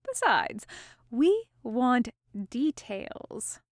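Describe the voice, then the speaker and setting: A woman's voice: fairly high pitch, sing-song, drawn-out words, dry recording, clean studio sound, heavily acted. Woman acting a bold, teasing pirate woman. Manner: careless, teasing, commanding.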